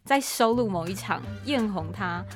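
A woman's voice: mid-range voice, expressive, normal speed, faint music behind. A woman with a Taiwanese Mandarin accent hosting a conversational podcast interview. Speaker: podcast host and radio drama writer.

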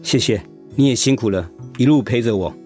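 An actor playing a determined, encouraging male cancer patient in a Mandarin radio drama, with a husky voice. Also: mid-range voice, fairly quick, a little mellow, faint music behind, lightly acted. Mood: steady, resolved.

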